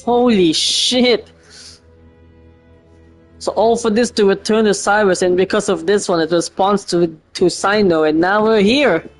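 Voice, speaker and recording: Male game streamer, high-pitched, slangy and exclamatory casual reactions, faint music behind. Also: a little slow.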